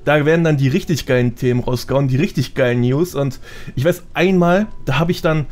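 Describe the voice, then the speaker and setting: A man's voice: fairly high pitch, fairly quick, darkish tone. A man talking as a podcast guest in casual, slangy, colloquial conversation. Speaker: podcast guest, gaming YouTuber.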